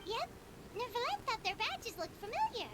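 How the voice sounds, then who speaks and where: A woman voicing a tiny, chirpy, childlike female companion in a video game, squeaky and excitable. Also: normal speed, very noisy. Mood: curious.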